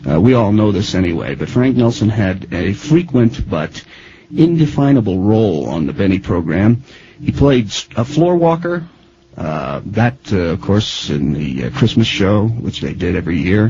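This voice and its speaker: An American man interviewing as a radio host, with a halting, deliberate way of introducing things. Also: on the low side, sing-song, dark tone, slightly rough, rough recording.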